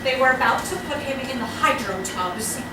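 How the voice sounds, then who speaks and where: Very high-pitched flustered nurse played by an actress. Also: female voice, moderate pace, lightly acted. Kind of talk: comic stage play performed live. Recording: echoey, very noisy hall from far off.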